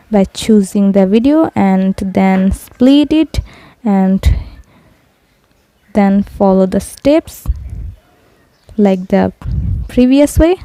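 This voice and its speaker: A woman's voice: medium pitch, warm tone, no room sound. A woman presenting a scripted step-by-step app tutorial voice-over, addressing viewers in simple instructional phrases.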